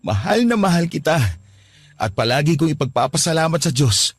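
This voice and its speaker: Guy acting grateful young boyfriend, emotional, raised pitch, radio drama with soft music. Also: big pitch swings, moderate pace, breathy edge, no room sound. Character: heartbroken young man finding new love.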